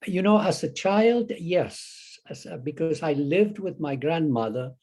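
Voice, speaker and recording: Older Chilean man, halting and rambling. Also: fairly high pitch, lively intonation, darkish tone, slightly rough. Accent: Chilean Spanish. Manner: rambling, halting, many restarts and fillers. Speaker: Zen teacher, guest. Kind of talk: casual interview conversation.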